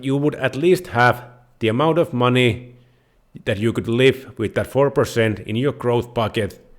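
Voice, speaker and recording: Finnish guy explaining stuff real slow, stops and restarts his sentences, hosts his own channel. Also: mid-range voice. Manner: halting, with pauses and restarts. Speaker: host of a personal finance channel. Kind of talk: unscripted explainer talking to viewers.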